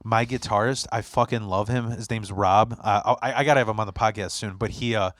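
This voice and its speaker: A man's voice: lowish voice, fairly even intonation, fairly quick, no room sound. A man whose first language is Polish, hosting a podcast in casual chat and telling rambling, profane stories with lots of restarts. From Poland. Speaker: podcast host.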